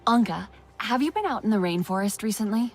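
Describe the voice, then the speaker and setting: A young female alien investigator in a game. She speaks in a measured, guarded way at a middle pitch. Her questions are curious and careful, with soft music behind her. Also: lively intonation. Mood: probing. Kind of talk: video game dialogue acting.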